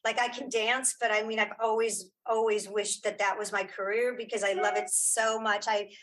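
A middle-aged or older woman chatting casually as an interview guest, telling stories with animated, self-mocking asides. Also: mid-range voice, normal speed, low-quality recording. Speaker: interview guest, audio drama creator and former actress.

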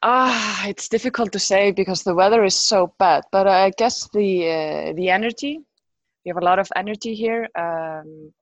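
Female podcast guest from Denmark, medium pitch, casual, dry recording. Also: moderate pace, no room sound. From grew up in Denmark. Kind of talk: casual chat.

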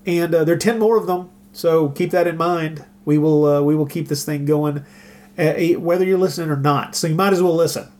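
A man hosts a podcast in a fairly high voice for a male speaker. He chats casually, with a wry and self-deprecating streak, joking as he addresses his listeners. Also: a little fast, a little mellow. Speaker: podcast host. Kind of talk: casual chat.

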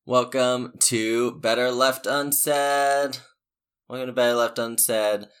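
A man's voice: mid-range voice, steady tone, slow, fairly bright tone, smooth. A man hosting a podcast in a casual, joking way, with a scratchy throat from the early hour. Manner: laid-back, joking, giggly. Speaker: podcast host.

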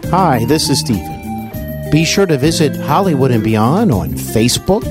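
A man's voice: mid-range voice, sing-song, unhurried, music in the background. A man hosting an interview podcast, introducing his show. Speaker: podcast host.

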